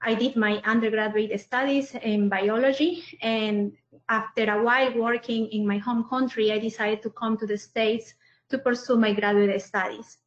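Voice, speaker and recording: Hesitant woman from Peru, flat voice. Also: a little high, moderate pace. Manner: hesitant, many fillers. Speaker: panelist. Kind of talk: self-introduction on a webinar. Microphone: video call. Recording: muffled audio in a roomy space.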